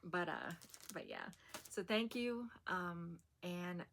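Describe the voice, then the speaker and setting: A woman chatting to camera in a soft, soothing voice that still carries a bright, sharp edge. She rambles a little, with easy fillers and small laughs, in the relaxed way of a long-time vlogger. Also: lowish voice, slow, vocal fry, a little hiss or hum. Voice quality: soft and soothing, said to put people to sleep. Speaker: haul vlogger. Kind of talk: casual chat to camera.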